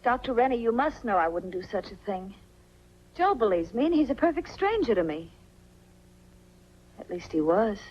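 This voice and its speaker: A woman's voice: on the high side, sing-song, moderate pace, long pauses. An actress playing a frightened young woman who is wronged yet defiant, earnest and dramatic in 1940s film noir style. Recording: muffled 1940s film soundtrack with music behind.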